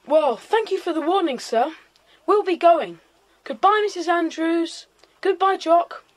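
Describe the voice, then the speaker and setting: A woman's voice: extremely high pitch, lively intonation, unhurried. A woman with a British accent playing a polite, sensible, self-assured boy who leads his friends in a children's audio drama. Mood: polite, firm.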